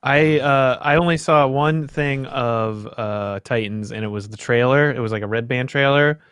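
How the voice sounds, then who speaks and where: A man's voice: medium pitch, normal speed, fairly bright tone, smooth, dry recording. Casual young man, dry close sound. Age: young adult. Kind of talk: casual group chat about superhero shows.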